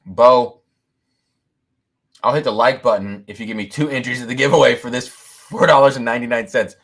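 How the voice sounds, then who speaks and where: A man hosting a whiskey-tasting livestream, talking loudly and excitedly, laughing often and reading viewer comments aloud into a boom mic. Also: moderate pace. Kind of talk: casual live-stream chat. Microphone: boom mic moved back from mouth.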